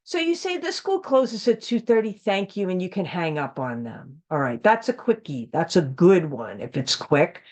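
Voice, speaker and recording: A woman's voice: lowish voice, sing-song, moderate pace, few pauses, darkish tone. An American woman giving an informal training lesson, speaking plainly as an instructor and repeating key points for emphasis. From United States. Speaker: instructor of a training course for school founders.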